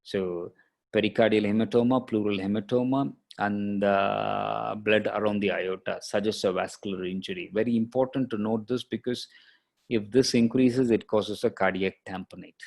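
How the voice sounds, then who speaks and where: A man lecturing as a radiologist, explaining case images step by step in a halting, explanatory way. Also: lowish voice, steady tone, vocal fry, darkish tone.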